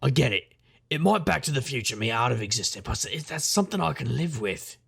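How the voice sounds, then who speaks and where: A man's voice: mid-range voice, expressive, brisk, vocal fry, fairly bright tone, breathy edge, exaggerated voice. A man playing a wry, brooding middle-aged magician detective, narrating an audio drama in first person.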